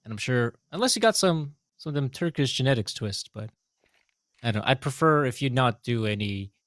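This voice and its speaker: A man's voice: mid-range voice, animated, brisk, no echo. A man from the Baltics co-commentating a live esports match, analytical and joking. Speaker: esports caster.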